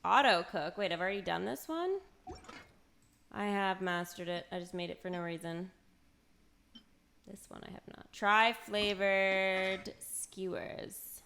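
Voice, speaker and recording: A woman's voice: medium pitch, unhurried, gravelly, fairly bright tone, a little hiss or hum. A woman streaming a video game, chatting casually and playfully as she reacts aloud and banters with viewers. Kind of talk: casual livestream chat over gameplay.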